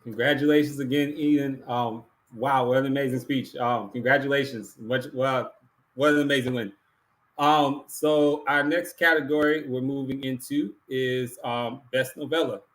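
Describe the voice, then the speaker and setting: A man's voice: mid-range voice, steady tone, moderate pace, a bit bright. A man hosting an awards ceremony, announcing categories, finalists and winners. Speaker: awards show host.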